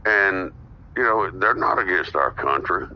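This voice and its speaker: A man's voice: low-pitched, a little slow, gravelly. A man who hosts a podcast, speaking as a guest in an earnest, opinionated political discussion. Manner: earnest, opinionated, steady explaining. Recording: dull lo-fi audio.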